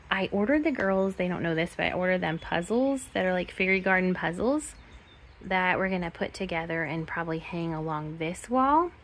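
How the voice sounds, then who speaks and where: A middle-aged woman with a raspy, hoarse voice from a cold, chatting casually with lots of ums while showing her house and porch. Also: medium pitch, slight background noise. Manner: chatty, rambling, frequent ums. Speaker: home vlogger. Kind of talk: casual home tour chat.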